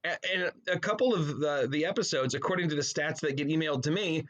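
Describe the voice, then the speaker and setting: A man's voice: fairly high pitch, quick pace, fairly bright tone, dull audio. Rambling man chatting as a podcast guest. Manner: rambling, self-interrupting storyteller with frequent trailing asides. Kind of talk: casual interview chat.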